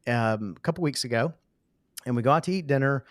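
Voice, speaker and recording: A man's voice: medium pitch, brisk, dry recording. An enthusiastic middle-aged man hosting an interview podcast, with a mid-range voice recorded close and dry. He chats casually, tells stories about his own life and stresses points by repeating them. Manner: upbeat and enthusiastic, tells anecdotes, repeats phrases for emphasis. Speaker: podcast host.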